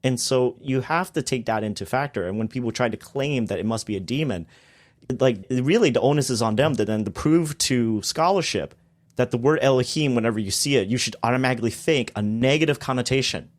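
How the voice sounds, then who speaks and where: A man's voice: mid-range voice, a little fast. A man, a guest on a podcast, explaining theology in a casual discussion with emphatic bursts on key points.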